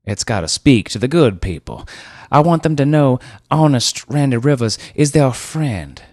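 A man voicing a boorish, overbearing heavyset car dealer who talks loudly and bossily in a drawl. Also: medium pitch, expressive, moderate pace, dry recording, studio quality, pitched above the performer's own voice, natural voice. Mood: bossy. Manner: loud, bossy, drawling.

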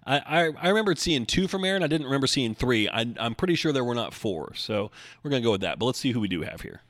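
A man's voice: mid-range voice, animated, fast, fairly bright tone, dry recording. A man hosting a casual solo podcast, rambling and correcting himself with dry humor as he answers listeners. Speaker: podcast host and bodybuilding coach.

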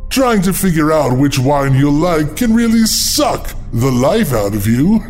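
A man's voice: on the high side, very animated, slow, darkish tone, faint music behind. A man playing a gleeful, mocking ghoul of a horror host, announcing in a theatrical, taunting singsong. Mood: sly, gleeful. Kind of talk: scripted macabre announcement with puns.

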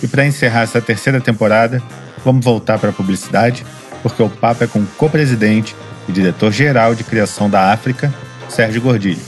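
Upbeat Brazilian podcast host, low voice. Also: male voice, a little fast, soft background music. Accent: Brazilian Portuguese. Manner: upbeat, chatty, laughs easily. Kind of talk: scripted intro then casual interview. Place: podcast studio, in person.

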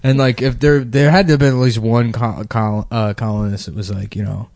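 A man's voice: on the low side, steady tone, brisk, darkish tone, dry recording. Sardonic man riffing, close dry sound. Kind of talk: casual comic banter.

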